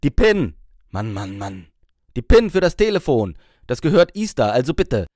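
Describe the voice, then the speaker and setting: A man's voice: mid-range voice, big pitch swings, moderate pace, a little mellow, no echo, clean studio sound. A man voicing a short, stocky, officious IT man who barks curtly and impatiently in an audiobook. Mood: irritated, bossy. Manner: curt, barking, impatient.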